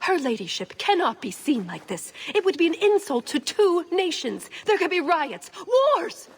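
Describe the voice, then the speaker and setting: A woman voicing a quick-witted, scheming young elf in a video game cutscene. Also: sits high, sing-song, slight background noise. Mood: mock urgency.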